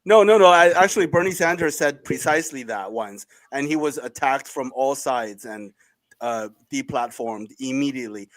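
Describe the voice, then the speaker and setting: Man, dry close sound, mid pitch, emphatic interjections, hesitant ums. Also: lively intonation, moderate pace, a bit bright, dry recording, rough recording. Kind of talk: political discussion.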